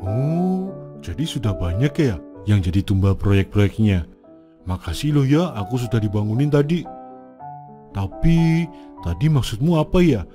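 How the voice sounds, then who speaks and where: Man voicing an excitable, vengeful ghost meatball seller. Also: mid-range voice, a little slow. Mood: scheming. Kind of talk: comic horror cartoon dubbing. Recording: music playing behind.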